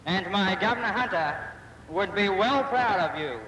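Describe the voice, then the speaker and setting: A man's voice: high voice, lively intonation, moderate pace. Distant, echoing man giving ceremonial speech. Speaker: public speaker at a ceremony. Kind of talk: formal ceremonial address. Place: outdoor civic ceremony. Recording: echoing distant public address, faint noise.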